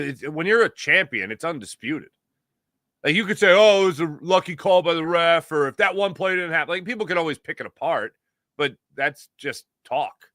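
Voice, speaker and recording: Bright-voiced American man hosting sports talk. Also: on the high side, no echo, studio quality. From Philadelphia area, United States. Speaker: sports talk show host. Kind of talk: casual sports talk monologue.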